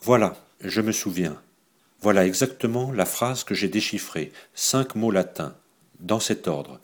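A man with a low, husky voice reading a frightened young woman in an audiobook, speaking hesitantly. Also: lively intonation. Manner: hesitant, halting.

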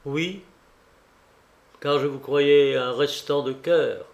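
A man's voice: on the high side, fairly even intonation, slow pace, gravelly, darkish tone. A man reading a proud, pleading countess. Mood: dignified. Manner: pleading, dignified and restrained. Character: proud, noble Corsican countess, grown woman. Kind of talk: audiobook reading.

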